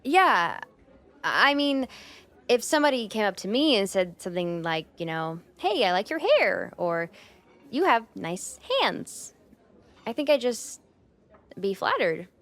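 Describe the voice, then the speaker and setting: A woman's voice: mid-range voice, sing-song, measured pace, a bit bright. Shy, flustered young woman, acted by a woman. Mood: earnest, overthinking. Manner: hesitant, self-conscious rambling with nervous giggles. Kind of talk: roleplay ASMR monologue spoken to the listener.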